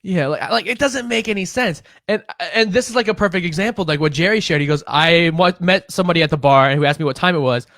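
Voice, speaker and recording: Young male podcast co-host, high pitched, swears freely, lively and quick to laugh, dry close mic. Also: no echo. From Illinois, United States. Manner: profane, animated, laughs often. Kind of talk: casual chat.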